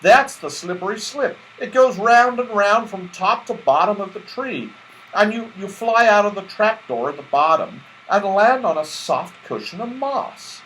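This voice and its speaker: A friendly little magical fellow voiced by a male reader, lifted in pitch and bouncy in intonation. He explains things helpfully, slightly halting. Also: lively intonation, fairly bright tone, rough recording.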